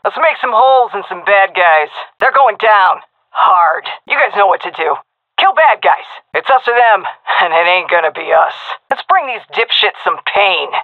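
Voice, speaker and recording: A woman's voice: medium pitch, animated, normal speed, no echo. Cocky commando woman over tinny radio. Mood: confident, gritty. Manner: brash, sardonic, clipped military callouts. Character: tough, cocky, foul-mouthed female navy commando commander. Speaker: video game military character, SEAL frogman commander. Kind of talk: game combat voice lines. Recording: narrow, phone-like radio comms.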